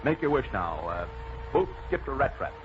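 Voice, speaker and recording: An actor playing a confident amateur sleuth and masked hero in 1940s radio style. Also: male voice, medium pitch, big pitch swings, moderate pace, natural voice. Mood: playful. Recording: muffled, noisy old radio broadcast.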